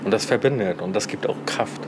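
Man, 40s, lowish voice, informal interview, clear ambient noise behind. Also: fairly quick. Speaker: social worker and café organiser.

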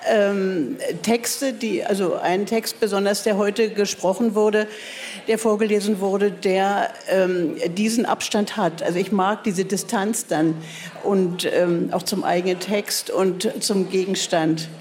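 A woman's voice: medium pitch, fairly even intonation, unhurried, a little mellow. An older woman from East Germany with a Berlin accent, a writer answering interview questions in a laconic, self-mocking way, full of fillers and restarts. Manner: hesitant, many fillers and restarts. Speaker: writer and guest speaker.

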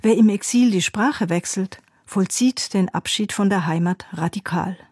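A woman giving scripted narration for a radio feature in an even, informative way. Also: mid-range voice, moderate pace, slightly rough, no echo, studio quality.

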